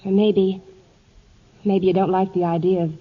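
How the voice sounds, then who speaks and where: A woman's voice: mid-range voice, flat delivery, normal speed, lightly acted. An actress playing a bold, wry young woman of the wagon train in a 1940s radio drama. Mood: challenging. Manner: wry, challenging. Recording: muffled 1940s radio broadcast.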